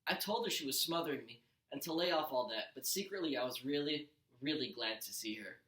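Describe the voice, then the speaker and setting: A man's voice: medium pitch, expressive, a little fast, fairly bright tone. A man, a teacher, narrating a first-person storybook aloud with expression. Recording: roomy echo.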